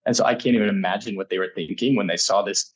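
Husky low-voiced man, from the United States, rambling, self-correcting, laughs a lot, interview guest. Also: fast. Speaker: guest, startup incubator energy lead. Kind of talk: casual interview storytelling.

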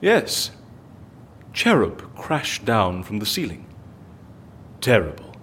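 An eager, curious male tourist with a low, very expressive voice. He takes his time over his words and presses politely for answers, over a faint background rumble. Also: slow, full character voice. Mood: curious, chatty. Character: curious, eager visitor to Venice, politely insistent. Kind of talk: naturalistic audio drama acting.

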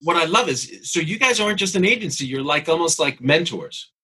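A man's voice: fairly high pitch, lively intonation, brisk, bright, forceful tone, husky. A man in his fifties hosting a casual interview chat, with a dry, self-deprecating way of talking. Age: 50s. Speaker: interviewer, show host.

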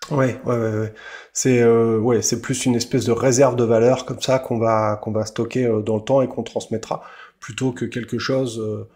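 A man's voice: mid-range voice, flat delivery, moderate pace, mellow. A man from France interviewing a guest, talking hesitantly with many fillers and repeated words. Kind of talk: casual interview.

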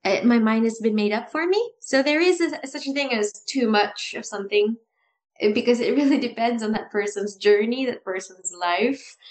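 A woman co-hosting a casual talk about improv, animated and often restarting her sentences with a laugh. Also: on the high side, normal speed. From Philippines. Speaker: improv podcast co-host. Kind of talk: casual chat.

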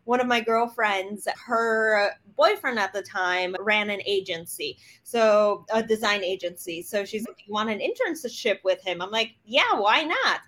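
A woman's voice: mid-range voice, lively intonation, a little slow, hardly any pauses, a bit bright. A woman from Poland, a guest on a podcast, telling her story in an upbeat, reflective way. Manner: upbeat and reflective, with light laughs.

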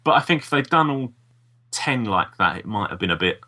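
A man's voice: medium pitch, expressive, brisk, sharp tone. A man talking casually as co-host of a review podcast. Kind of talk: casual review chat about audio dramas.

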